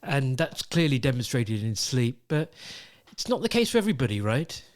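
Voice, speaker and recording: A man's voice: mid-range voice, big pitch swings, moderate pace. Thoughtful British man co-hosting a podcast. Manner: thoughtful, conversational, frequent "right?" and "you know". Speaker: podcast co-host. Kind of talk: casual podcast chat.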